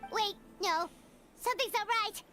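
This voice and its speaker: A woman voicing a tiny, childlike video game companion, feisty and cheeky, quick to exclaim in outrage. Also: extremely high pitch, heavily acted. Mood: puzzled. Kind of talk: video game character acting. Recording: loud game music behind.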